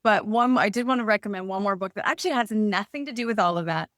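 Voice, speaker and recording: A woman with a smooth, clear voice chats casually as an interview guest. She is an author who talks with effusive enthusiasm. The sound is dry and close, with no room echo. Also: medium pitch, quick pace, fairly bright tone.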